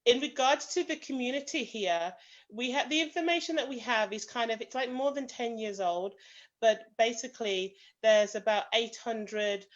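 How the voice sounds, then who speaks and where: Female association president, British accent, semi-formal introduction, dull lo-fi call audio. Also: medium pitch. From British of Jamaican family. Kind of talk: semi-formal spoken introduction on an online meeting. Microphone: video call.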